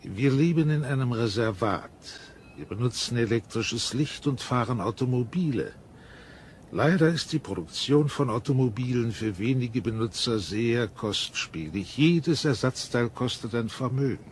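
A man's voice: mid-range voice, expressive, normal speed, a little hiss or hum, lightly acted. An actor in a radio drama playing a reserved townsman from an old-fashioned reservation. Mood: guarded.

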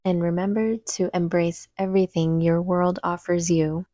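A woman leading a guided meditation with calm, soothing, unhurried instruction. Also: on the low side, no echo, studio quality.

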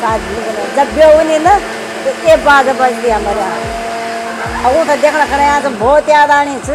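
An older woman from Garhwal, chatting haltingly in a small cafe and often restarting her words. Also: on the high side, background noise. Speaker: family member, grandmother. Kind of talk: casual chat. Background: music.

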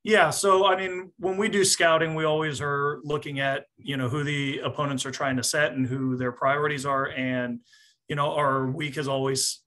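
A man in his forties, a coach explaining things in a measured way at a post-match press conference, speaking on a video call. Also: medium pitch, bright, forceful tone. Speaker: volleyball coach. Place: small indoor office, on a video call.

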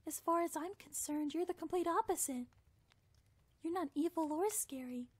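A woman voicing a timid, gentle young female pony in a cartoon, speaking hesitantly and apologetically. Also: very high-pitched, moderate pace. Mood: earnest, kind. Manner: hesitant, apologetic, softly earnest. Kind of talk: animated fan drama acting.

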